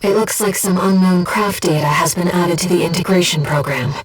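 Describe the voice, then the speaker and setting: A woman voicing a gentle, disembodied female being who guides a pilot in a video game, speaking in a composed, earnest and precise way. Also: fairly low pitch, fairly quick, breathy edge, distant mic. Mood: calm, focused. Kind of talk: video game mission support voice acting.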